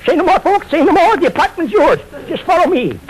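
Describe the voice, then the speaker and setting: An actor playing a flustered, folksy old landlord who stammers and repeats himself in a vintage radio comedy. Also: male voice, extremely high pitch, big pitch swings, fairly quick. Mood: folksy, eager. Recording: noisy old radio recording, audience behind.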